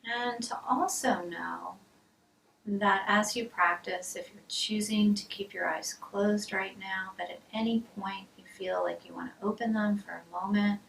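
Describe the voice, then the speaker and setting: Soft creaky-voiced woman guiding meditation. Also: medium pitch. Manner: soft, soothing, measured with pauses. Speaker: mindfulness meditation teacher. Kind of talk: guided body scan meditation. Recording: roomy, distant mic, hissy background noise.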